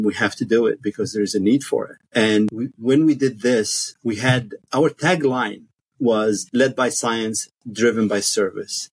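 A middle-aged man from Egypt, an interview guest telling his career story in short, measured statements. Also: fairly low pitch, husky, dry recording. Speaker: podcast guest, pathologist.

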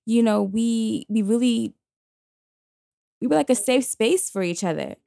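A woman's voice: on the high side, measured pace, gravelly, mellow, no echo, studio quality. A woman, telling a personal story bluntly and emphatically in slangy casual chat. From Queens, New York.

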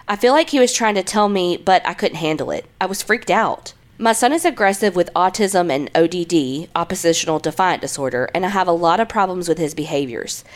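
A woman co-hosting a podcast with a congested, stuffy nose, chatting bluntly with plenty of swearing and reading listener stories aloud. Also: mid-range voice. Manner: blunt, swears freely, lively storytelling. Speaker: podcast co-host.

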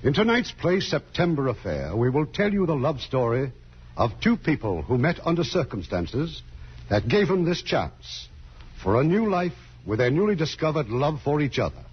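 A man's voice: a little high, sing-song, moderate pace, slightly rough. A man hosting an old radio theatre as producer, giving formal scripted narration. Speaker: producer and host. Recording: muffled old radio broadcast, faint music.

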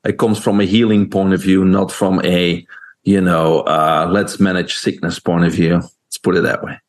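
A man's voice: sits low, level tone, normal speed. A man from Belgium with a Belgian accent, a guest on a podcast interview, talking earnestly and fluently with frequent uh fillers.

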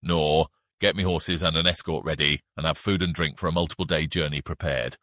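A man voicing a calculating deputy assassin in a fantasy audiobook, measured and restrained in manner. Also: rumbling low, fairly even intonation, pushed and bright, dry recording, studio quality. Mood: brisk command.

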